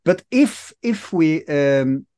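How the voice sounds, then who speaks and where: Man, halting co-host. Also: a little high, lively intonation, dark tone, dry recording, clean studio sound. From Norway. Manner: hesitant, repeats words. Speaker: podcast co-host. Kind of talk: casual conversation. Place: home study with bookshelves, video call. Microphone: headphones and studio mic.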